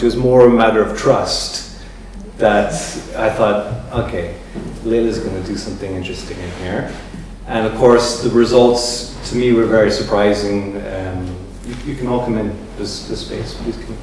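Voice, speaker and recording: A man hosting a gallery talk as curator, speaking in a measured, reflective way as he searches for words. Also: on the low side, darkish tone. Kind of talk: public talk introducing an artist. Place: large echoey gallery room. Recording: echoey gallery room from far off with ambient noise.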